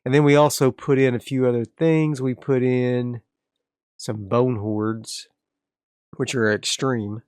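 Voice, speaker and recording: A man's voice: medium pitch, slow pace, clean tone, no echo, clean studio sound. A man playing a solo tabletop game, casually narrating and thinking aloud as he sets up his next area. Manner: relaxed, rambling, frequent restarts.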